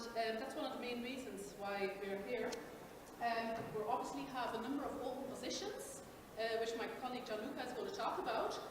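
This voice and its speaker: A woman from Belgium gives an upbeat, chatty recruiting presentation with frequent ums, recorded from a distance in a large expo hall. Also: a little high. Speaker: company presenter. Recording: echoey hall, distant mic, background noise.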